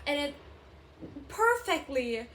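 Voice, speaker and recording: A woman's voice: high-pitched, very animated, takes it slowly, a bit bright, a little hiss or hum. A young woman from Turkey with a Turkish accent, chatting enthusiastically about favorite books in a casual vlog, full of fillers and self-corrections. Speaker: booktube vlogger. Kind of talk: casual book recommendation chat.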